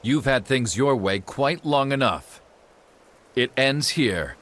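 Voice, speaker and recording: An actor playing a stern, resolute fighter in a video game cutscene. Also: male voice, mid-range voice, animated, slow pace, a bit bright. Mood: firm. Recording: clear noise behind the voice.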